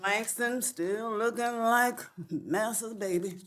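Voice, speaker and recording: A woman's voice: on the high side, takes it slowly, fairly bright tone. An actress playing a blunt, sly, sassy grandmother in a live comedy read. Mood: dry, cutting. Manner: dry, cutting, sassy.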